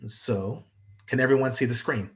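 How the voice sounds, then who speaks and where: A man's voice: mid-range voice, normal speed. A congested man gives an online presentation as a guest speaker, talking hesitantly with many fillers. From United States. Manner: hesitant, lots of ums and uhs. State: congested from allergies. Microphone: video call.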